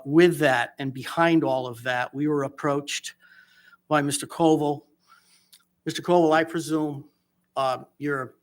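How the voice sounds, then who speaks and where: A man chairing a town council meeting, speaking earnestly and haltingly with many fillers. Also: medium pitch, fairly bright tone.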